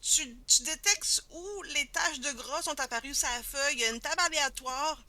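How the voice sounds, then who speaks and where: A woman's voice: high-pitched, a little fast, bright, forceful tone. A woman with a Québécois accent, hosting a casual stream chat, upbeat and joking. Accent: Québécois French. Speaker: host.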